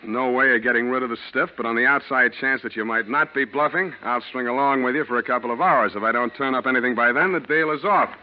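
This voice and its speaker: An actor playing a wry, streetwise private detective in 1940s American radio style, with a dry, sardonic, slangy delivery. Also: male voice, medium pitch, fast talker, few pauses, natural voice. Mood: firm. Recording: dull vintage radio recording with music.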